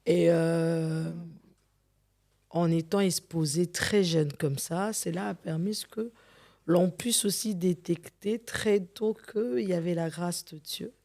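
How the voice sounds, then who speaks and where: A middle-aged woman from Congo, a gospel singer, giving an earnest and measured testimony as an interview guest, sometimes repeating words as she searches for them. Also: lowish voice, creaky, darkish tone. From Democratic Republic of the Congo.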